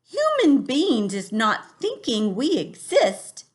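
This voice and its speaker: A woman's voice: wildly swooping pitch, slow, full character voice. A woman reading a storybook aloud and voicing a kindly male giant with a funny put-on English accent and jumbled grammar. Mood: pleading. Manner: booming, mangled grammar. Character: huge kindly male giant, garbled words.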